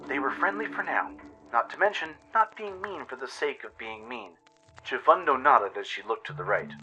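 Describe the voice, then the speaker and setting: A man's voice: lowish voice, sharp tone, rough recording. A man reading scripted first-person story narration for a radio play.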